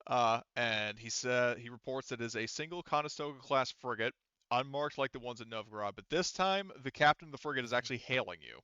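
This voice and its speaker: A man narrating a tabletop game as game master, improvising and piecing the story together haltingly. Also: medium pitch, bright, forceful tone, clear, resonant tone, dry recording, dull audio.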